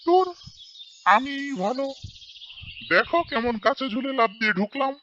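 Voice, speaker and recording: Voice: sits high, expressive, moderate pace, pushed and bright, lo-fi sound, slight background noise. A performer voicing a playful, show-off monkey pupil in a cartoon. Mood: boastful.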